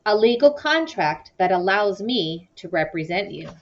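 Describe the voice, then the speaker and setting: A woman's voice: mid-range voice, lively intonation, normal speed, lo-fi sound, a little hiss or hum, natural voice. A woman voicing a dismissive, businesslike older male lawyer in a children's novel read-aloud. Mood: curt. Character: curt, money-minded older male immigration lawyer.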